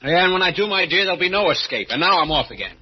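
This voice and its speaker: Swooping, sardonic cop husband. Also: male voice, high-pitched, big pitch swings, racing, husky, exaggerated voice. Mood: mocking, bitter. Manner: mocking, wisecracking. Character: sardonic, jealous suspended policeman husband with big appetite. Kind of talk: 1940s radio acting. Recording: muffled, noisy old radio recording.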